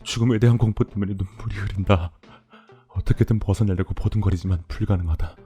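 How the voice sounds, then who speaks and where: Male horror audiobook narrator, low register, scripted first-person delivery, faint music bed. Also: quick pace, warm tone. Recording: music behind the voice.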